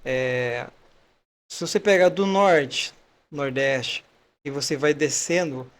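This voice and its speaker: A man's voice: mid-range voice, slow. A man hosting a faith testimony podcast, welcoming his guest and chatting, sometimes stopping to search for a word. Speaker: podcast host. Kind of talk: podcast interview.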